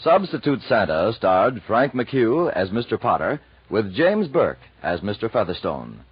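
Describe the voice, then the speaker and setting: A man's voice: on the low side, big pitch swings, measured pace. A man with an American accent doing scripted 1940s radio announcing. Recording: dull 1940s radio recording over music.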